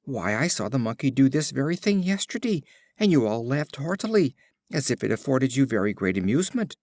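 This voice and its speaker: A man's voice: mid-range voice, big pitch swings, a little fast, darkish tone, no room sound, studio quality. A man voicing an indignant, complaining donkey in a storybook fable, with formal wording. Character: indignant male donkey protesting his unfair beating.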